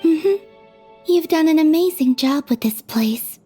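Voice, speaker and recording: Gentle maiden, high mellow voice, wistful, unhurried, anime game, faint music behind. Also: female voice, animated. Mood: serene, wistful. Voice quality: soft, breathy. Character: gentle, dreamy, serene young maiden. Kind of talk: anime video game voice acting.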